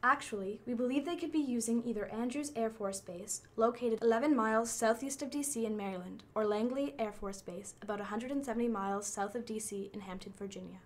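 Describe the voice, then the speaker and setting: A woman's voice: fairly high pitch, brisk, hardly any pauses, close to an everyday voice. A woman playing an impersonal machine intelligence in a radio play, reporting findings in a formal, precise, matter-of-fact way. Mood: clinical, matter-of-fact.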